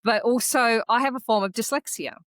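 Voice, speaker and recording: A woman whose was Croatian, co-hosting a podcast and telling a personal story in an earnest, reflective way with halting restarts. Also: a little high, a bit bright, no echo, studio quality. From Australia. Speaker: podcast co-host. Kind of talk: casual conversational podcast chat.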